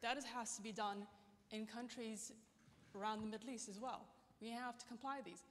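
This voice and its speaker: A woman's voice: on the high side, steady tone, moderate pace, slight background noise. A woman in a seated audience holds a handheld microphone and challenges the speaker, earnest and fluent. Her voice rings with the long reverb of a big conference hall. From Britain. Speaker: audience member asking a question.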